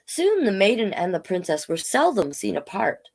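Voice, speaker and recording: A woman hosting a storytime, reading a picture book aloud with chatty, gently encouraging asides to listeners. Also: mid-range voice, big pitch swings, normal speed, gravelly. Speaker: children's storytime reader and host.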